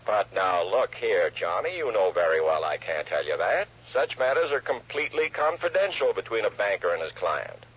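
An actor playing a staid, guarded but friendly banker with formal manners in an old-time radio drama. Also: male voice, on the low side, very animated, normal speed, hardly any pauses, husky. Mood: guarded, proper. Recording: dull old radio recording.

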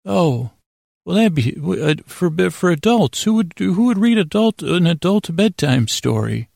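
A man's voice: high voice, big pitch swings, unhurried, creaky, a little mellow, no room sound, clean studio sound, pitched above the performer's own voice, exaggerated voice. A man voicing a prim, apologetic institute representative who stammers as he politely turns people down. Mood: flustered, politely refusing. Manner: hesitant, stammering, politely dismissive. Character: prim, apologetic bedtime story institute representative turning down requests.

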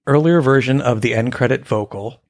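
A man's voice: medium pitch, normal speed. Chill teasing guy cohost running a pun quiz. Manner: teasing, relaxed. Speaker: podcast co-host. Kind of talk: casual chat.

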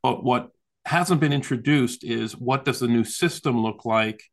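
A man explaining conversationally as an interview guest, with halting, hesitant phrasing. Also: medium pitch. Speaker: guest, author.

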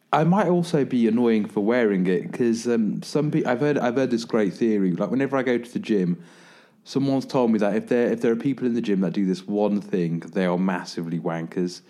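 A man's voice: mid-range voice, brisk, dark tone. A man hosting a podcast, chatting casually and joking, with casual swearing. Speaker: podcast host. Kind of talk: casual chat.

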